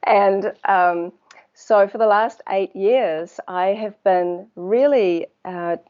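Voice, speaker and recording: A woman with a New Zealand accent, a water researcher speaking as a guest in a casual interview, thoughtful and unhurried, often pausing to search for words. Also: medium pitch, muffled recording.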